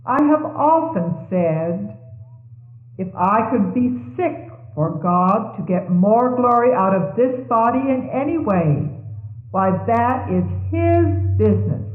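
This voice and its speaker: Slow, high-voiced woman reading sermons, thin echoey tape. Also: animated. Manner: measured, deliberate, formal. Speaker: religious reader. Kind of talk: solemn scripture-like reading aloud of sermon messages. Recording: 1970s tape, thin phone-like band, echoey room.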